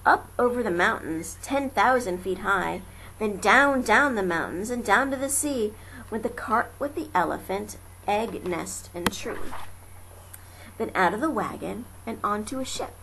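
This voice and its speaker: A woman reading children's verse aloud as an amateur storyteller, dramatic and deliberate in her delivery. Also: medium pitch, expressive, fairly bright tone, poor audio. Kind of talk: expressive children's verse narration. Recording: faint noise, amateur home recording. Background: faint ambient noise.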